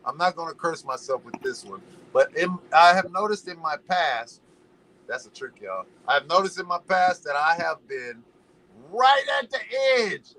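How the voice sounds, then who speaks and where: A man from Los Angeles chatting casually as a podcast guest, an animated, slangy storyteller who drifts into preachy musings. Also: fairly high pitch, moderate pace, poor audio. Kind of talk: casual chat.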